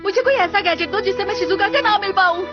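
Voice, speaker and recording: A very high-pitched, whiny little schoolboy from a cartoon, impulsive and melodramatic about his crush. The sound is dull and lo-fi, with loud music behind him. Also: fast talker. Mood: stubborn. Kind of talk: animated cartoon dub.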